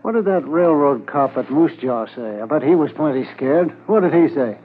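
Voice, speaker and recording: An actor in a 1950s radio drama playing a tired, sneering wounded bank robber under arrest. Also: male voice, on the high side, lively intonation, normal speed, natural voice. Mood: taunting. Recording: lo-fi 1950s radio transcription, faint hiss.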